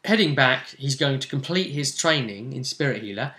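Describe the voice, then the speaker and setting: A man's voice: medium pitch, animated, normal speed, bright, forceful tone. A man hosting as game master, giving a casual recap of a tabletop role-playing campaign. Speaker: game master and vidcast host.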